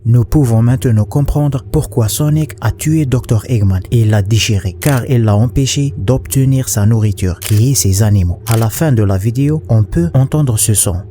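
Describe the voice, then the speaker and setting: A man narrates a scripted horror explainer at a middle pitch. Clear background noise and ambience sit around his voice as he explains step by step. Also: moderate pace, few pauses, warm tone. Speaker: video narrator.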